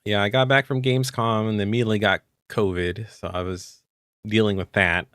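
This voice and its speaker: Raspy, hoarse man co-hosting. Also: fairly low pitch, steady tone, fairly quick, a bit bright, no room sound, clean studio sound. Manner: easygoing, with frequent fillers. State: hoarse, still coughing after illness. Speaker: podcast co-host. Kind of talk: casual chat about tabletop game rules.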